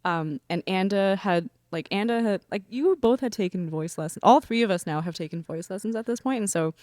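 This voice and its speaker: A woman singer in a band, talking relaxed and rambling in a casual interview. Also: mid-range voice, brisk, no echo. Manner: relaxed, rambling with many fillers. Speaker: band member, vocalist.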